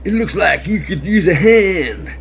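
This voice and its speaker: A big, jolly bear from a children's storybook, played by a man, calls out in a raised, loud voice. He is theatrical and grandiloquent, savouring flowery phrases with great exuberance. There is noticeable noise behind him. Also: high-pitched, animated, measured pace, creaky, pitched above the performer's own voice, heavily acted. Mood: exuberant, persuasive.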